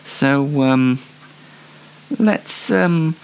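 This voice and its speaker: A man's voice: on the high side, extremely slow, vocal fry. A man hosting a casual solo radio-style show, rambling along in a whimsical, self-deprecating way. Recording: music bed behind the voice. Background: loud plucked guitar music bed.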